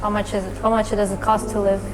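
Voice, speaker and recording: A woman's voice: medium pitch, flat delivery, fast talker, over music. A woman interviewing a guest in casual chat, asking short questions with simple, slightly non-native phrasing.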